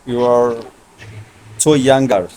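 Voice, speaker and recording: A Bangladeshi man in his thirties talks in simple English, often repeating his questions. His voice sits at a middle pitch. A clear background noise runs under this video call. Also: fairly even intonation, slow, dark tone. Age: 30s. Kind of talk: casual video chat. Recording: noisy video call line.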